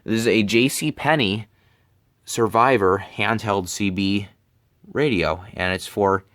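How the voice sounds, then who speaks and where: A young man with a fairly low voice presents in a casual vlog style. He speaks haltingly, doubling back on words. The sound is close and very dry, recorded in a bedroom on a handheld camera. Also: a bit bright, no room sound. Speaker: hobbyist presenter. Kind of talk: casual product show-and-tell vlog. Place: bedroom, indoors. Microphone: handheld camera, close behind it.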